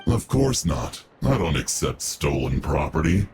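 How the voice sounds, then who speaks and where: Very deep, guarded agent. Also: male voice, sing-song, unhurried, exaggerated voice. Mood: wary. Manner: curt, guarded, formal. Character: guarded, stern male underworld agent in a fantasy game. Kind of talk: scripted game dialogue. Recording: prominent music behind the voice.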